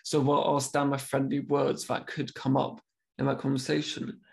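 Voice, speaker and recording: A man's voice: mid-range voice, monotone, gravelly, darkish tone. A young man who stammers, talking openly about it in a casual conversation as host. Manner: speaks with a stammer, pausing and restarting words.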